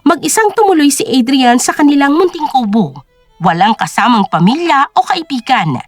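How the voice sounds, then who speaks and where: A woman's voice: high voice, very animated, moderate pace, no room sound, soft background music. A woman narrating a scripted drama story in a dramatic storytelling style.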